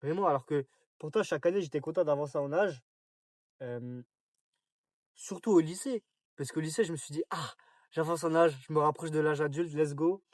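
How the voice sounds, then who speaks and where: A man's voice: fairly high pitch, moderate pace, long pauses, dry recording, clean studio sound. A nineteen-year-old man from France talking casually to camera in a rambling, slangy monologue full of fillers and restarts. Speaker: vlogger.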